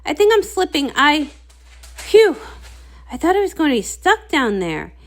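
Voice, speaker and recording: A woman voicing a small, clumsy, eager young male unicorn in a storybook, excitable and earnest. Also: high-pitched, measured pace, pitched above the performer's own voice, heavily acted. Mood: nervous, relieved.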